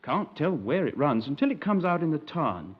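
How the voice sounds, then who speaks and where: An actor playing an eager, artless young lad, deferential and literal-minded, in a 1950s radio drama. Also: male voice, on the high side, big pitch swings, moderate pace, breathy edge. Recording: dull 1950s radio recording.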